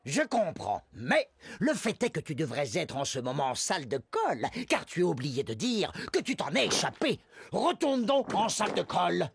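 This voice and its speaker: Creaky, stern microbe school principal. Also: medium pitch, huge pitch swings, heavily acted. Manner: formal, measured, severe. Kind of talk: animated cartoon dubbing in French.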